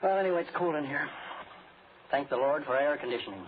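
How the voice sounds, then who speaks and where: An actor playing a put-upon, exasperated radio performer who grumbles in slang, in 1940s radio drama style. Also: male voice, a little high, fast talker, close to an everyday voice. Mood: uneasy, grumbling. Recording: tinny, noisy old radio recording.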